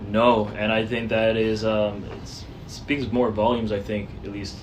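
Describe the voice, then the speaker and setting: A man speaking as a guest in a casual discussion, thoughtful and hesitant, often pausing with "um". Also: lowish voice, flat delivery, noisy background.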